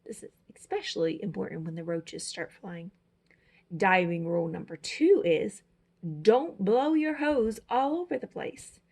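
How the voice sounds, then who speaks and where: A woman's voice: medium pitch, measured pace, gravelly, a little mellow. A woman reading a children's picture book aloud as a storyteller, with dramatic, emphatic phrasing. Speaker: storyteller, teacher.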